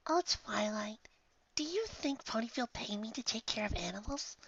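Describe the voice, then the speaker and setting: A performer voicing a shy, naive, gentle female pegasus pony in a soft, hesitant, breathy voice for a fan story reading. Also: mid-range voice, expressive, moderate pace, no room sound, pitched above the performer's own voice. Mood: mild, innocent. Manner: timid, hesitant, mild. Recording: slightly dull home recording.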